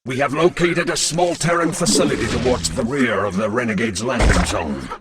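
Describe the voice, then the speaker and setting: A man playing a dutiful lieutenant to an alien queen in a video game, formal, measured and deferential. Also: medium pitch, very noisy, close to an everyday voice. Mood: formal briefing.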